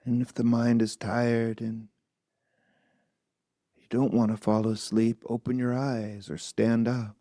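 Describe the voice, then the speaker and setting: A man with a low, mellow voice guides a meditation in a soft, gentle manner. He speaks very slowly and keeps his pitch very even, leaving long pauses between short phrases. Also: dry recording, studio quality. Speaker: meditation teacher.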